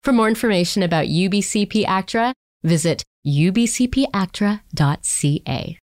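A woman's voice: mid-range voice, animated, normal speed, darkish tone. A woman hosting a podcast, speaking with effusive emphasis and dramatic phrasing. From Canada. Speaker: podcast host. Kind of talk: scripted podcast introduction and interview.

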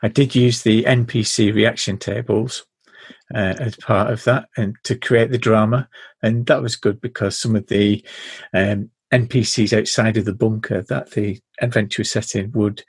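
A man with a low, husky voice full of gravel talks in a dry, deadpan way. He takes his time and sounds measured, close to the mic in a dead, dry space. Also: no echo. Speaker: podcast host. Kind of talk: podcast chat, reading rules aloud.

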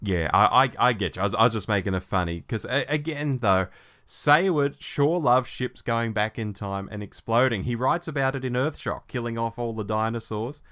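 A man's voice: mid-range voice, moderate pace, a bit bright. A man co-hosting a podcast, reviewing a book in a casual, wry, conversational way. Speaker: podcast co-host.

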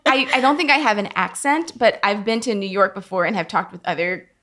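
A young woman from Georgia, a guest in a casual conversation, chatty, laughing often and trailing off softly. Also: medium pitch, animated, a little fast. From Georgia, USA.

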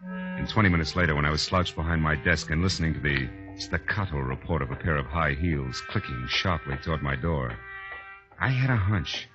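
An actor playing a tough, wisecracking American private detective in a 1940s radio drama, with a wry, clipped, hard-boiled delivery. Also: male voice, extremely low pitch, expressive, fairly quick. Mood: wry narration. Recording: dull 1940s radio recording, faint music.

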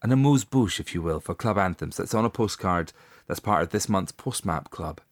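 A man's voice: sits low, animated, normal speed, dry recording. A man co-hosting a casual podcast, jokey and giggly, with playful swearing. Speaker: podcast co-host. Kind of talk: casual label podcast chat.

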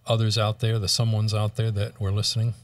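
Low-voiced male co-host, off-mic. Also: monotone, a little fast, no room sound, far from the mic. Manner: supportive, brief interjections. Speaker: radio show co-host. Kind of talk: casual talk show chat.

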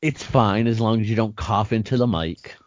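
A man co-hosting a podcast in casual chat, joking, animated, often restarting his sentences. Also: on the low side, normal speed, dry recording. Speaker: podcast co-host. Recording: dry, dull lo-fi sound.

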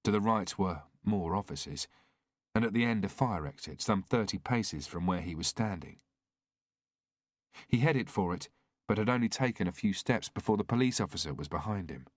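Low-voiced man narrating a thriller. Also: animated, normal speed, dry recording, clean studio sound. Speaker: audiobook narrator.

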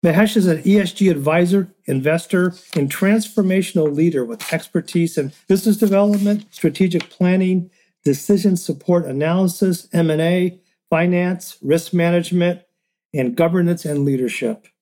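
A man's voice: high voice, normal speed, dark tone. A man hosting a podcast, introducing and interviewing a guest. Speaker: podcast host.

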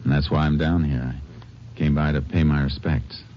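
A calm, plainspoken Texas Ranger with a very low voice and polite manners. The sound is muffled with a faint hiss, like a 1950s radio broadcast. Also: male voice, normal speed. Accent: Texan. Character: steady Texas Ranger investigating a murder. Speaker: lead actor in a radio drama. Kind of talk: 1950s radio drama acting and narration.

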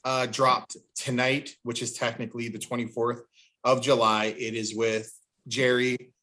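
A man's voice: medium pitch, little pitch movement, measured pace, pushed and bright, low-quality recording. A man bantering on a podcast in a casual, playful and blunt way.

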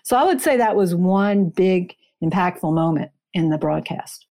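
A woman speaking as an interview guest, explaining and telling a story in deliberate, short phrases. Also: on the low side, expressive, warm tone.

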